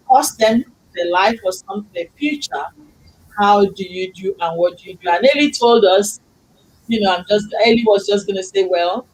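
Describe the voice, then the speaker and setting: Effusive preachy host, English second language. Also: female voice, medium pitch, moderate pace, a little mellow, rough recording. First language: not English. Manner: effusive, preachy, faith-filled. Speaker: talk show host. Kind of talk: casual chat show hosting.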